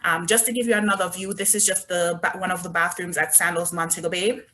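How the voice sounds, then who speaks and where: A woman's voice: on the low side, steady tone, quick pace, pushed and bright. A woman with a Jamaican accent, presenting resorts in an upbeat, chatty sales talk over a video call. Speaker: resort business development manager. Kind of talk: online travel presentation.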